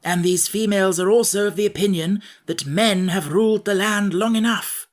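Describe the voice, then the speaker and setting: A soft-spoken, courteous scarecrow monarch with a slightly raised, doleful tone, as a male audiobook reader puts him on. He speaks politely and a little glumly. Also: sits high, moderate pace, pitched above the performer's own voice, lightly acted. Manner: mild and polite, rather mournful. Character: polite, doleful straw-stuffed king. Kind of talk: audiobook character voice.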